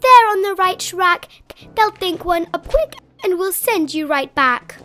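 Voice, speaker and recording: Pompous storybook war chief, played by a woman: British, very high voice, hearty boasting, light music underneath. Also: lively intonation, unhurried, sharp tone, full character voice. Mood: confident, boastful. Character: pompous, jolly military chief of storybook creatures. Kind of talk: children's storybook read-aloud.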